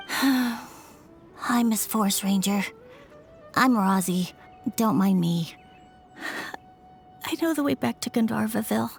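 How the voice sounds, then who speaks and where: Slow, creaky-voiced downcast child. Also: female voice, on the high side, faint music behind. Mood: dejected. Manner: subdued, reluctant. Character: downcast small child, shy, polite. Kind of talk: anime video game voice acting.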